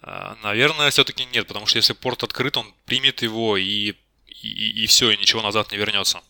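Very bright, crisp-voiced man answering questions. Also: on the low side, steady tone, quick pace, dry recording, studio quality. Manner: tentative, self-correcting, admits uncertainty. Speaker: job candidate in a technical interview. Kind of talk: spontaneous technical explanation.

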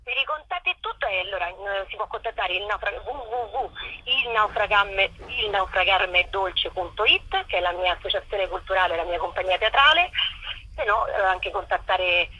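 A woman talking conversationally as a guest in a radio phone interview, explaining things in an animated, talkative way. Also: mid-range voice, normal speed, husky. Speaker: interview guest, theatre company leader. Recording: telephone line on radio.

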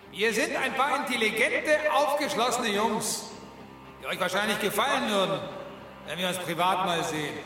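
A man's voice: very high voice, sharp tone, exaggerated voice. A male actor playing a cocky, trigger-happy space cop who poses as a sensitive liberal, speechifying self-righteously in a comic science-fiction radio drama. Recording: echoing hall reverb, music behind.

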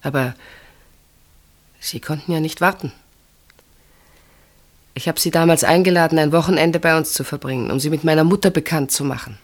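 An actress playing a reserved, tender woman in an intimate radio play, speaking in a gentle, measured, earnest way. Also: low voice. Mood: sad, reproachful. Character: reserved, tender woman named Marianne, quietly devoted.

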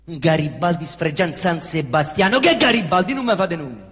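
An actor playing a cocky young male Roman slum youth and killer, with swaggering street slang and a Roman accent, swinging between defiant outbursts and low muttering. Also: lively intonation, normal speed, a bit bright, in a large hall. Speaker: stage actor in a monologue. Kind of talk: theatrical monologue. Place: theatre stage.

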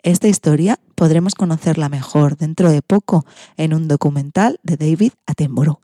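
A woman's voice: sits low, moderate pace, mellow, no room sound, studio quality. A woman with a Castilian accent from Spain, presenting a scripted radio segment as host.

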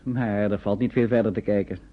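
A man's voice: on the low side, steady tone, brisk, lightly acted. An actor narrating a scripted radio drama about wartime refugees in a man's storytelling voice. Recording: muffled phone-like old radio recording.

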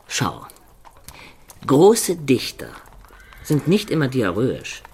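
Baudolino, sly storytelling medieval rogue, noisy backdrop. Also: male voice, medium pitch, wildly swooping pitch, very slow, a little mellow, exaggerated voice. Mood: sly advising. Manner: theatrical, playful, amused. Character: Baudolino, cheerful sly self-satisfied medieval liar and storyteller. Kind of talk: German radio play storytelling.

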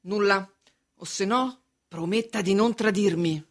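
A woman's voice: mid-range voice, measured pace. A woman playing an anxious, submissive merchant who confides fretfully and insistently in a radio drama. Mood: confiding. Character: anxious, submissive merchant client obsessed with his trial. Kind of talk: stage acting in a radio drama.

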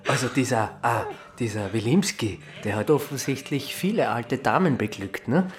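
A man's voice: medium pitch, close to an everyday voice. Hesitant Austrian man, an actor as amateur sleuth. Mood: probing, amused. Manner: hesitant, halting, apologetic. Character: hesitant, awkward amateur sleuth Suchanek, male. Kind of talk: naturalistic radio drama dialogue.

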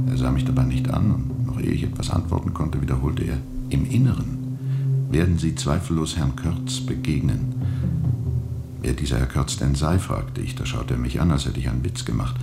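An actor playing a world-weary steamboat captain who tells of his journey gravely and reflectively, with heavy pauses, in a radio drama. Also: extremely low pitch, exaggerated voice. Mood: brooding, deliberate. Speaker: narrator and lead character.

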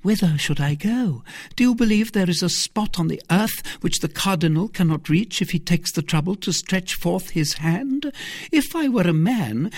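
A man doing a husky, sly noblewoman. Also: sits high, dry recording, clean studio sound, pitched above the performer's own voice, exaggerated voice. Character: scheming noblewoman, sighing and sly. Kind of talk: audiobook character reading.

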